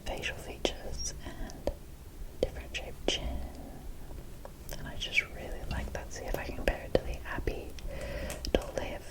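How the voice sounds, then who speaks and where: A woman whispering an ASMR show-and-tell in a soft, rambling, appreciative way. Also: normal speed, poor audio. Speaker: ASMR host showing a doll collection.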